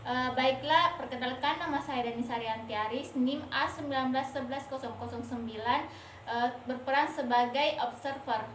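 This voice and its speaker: A woman's voice: sits high, moderate pace, fairly bright tone. A young woman, a nursing student acting as observer, introducing herself formally. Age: young adult. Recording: roomy, distant, faint noise.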